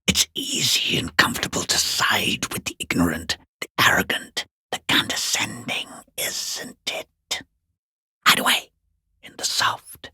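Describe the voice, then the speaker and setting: A man playing a mocking, sinister unseen watcher in a video game, in a hoarse whispering rasp with taunting, drawn-out phrasing. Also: extremely high pitch, pushed and bright, no echo, studio quality, heavily acted. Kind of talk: video game character voice lines.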